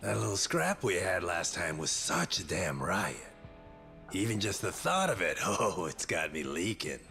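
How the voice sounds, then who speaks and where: A menacing game yakuza who lives for a brawl, with a rough, gravelly edge. His low voice leaps up and down as he teases in a leering sing-song. Faint music sits underneath. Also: sing-song, a little slow, sharp tone, full character voice. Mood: gleeful taunting. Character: unhinged fight-loving yakuza man, gleeful and menacing. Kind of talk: English game dub.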